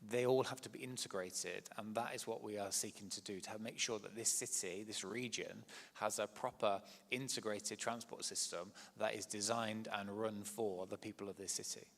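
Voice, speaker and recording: A man speaking earnestly on a symposium panel, in a steady way with some hesitations. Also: on the low side, moderate pace, gravelly, hardly any pauses, in a large hall.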